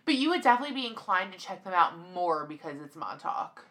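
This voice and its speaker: A young woman co-hosting a podcast in casual chat, teasing and chatty, with a loud voice. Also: lowish voice, big pitch swings, fast talker. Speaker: podcast co-host. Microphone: podcast microphone.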